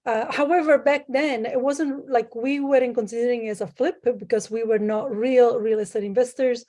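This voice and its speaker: A woman from Sicily, Italy, speaks as a guest over a remote call. Her voice sits slightly high. She talks casually and stops often to hunt for words, filling the gaps with ums and uhs. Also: fairly even intonation, normal speed, warm tone. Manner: hesitant with many fillers, plain speech. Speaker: guest, private lender. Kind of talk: casual interview.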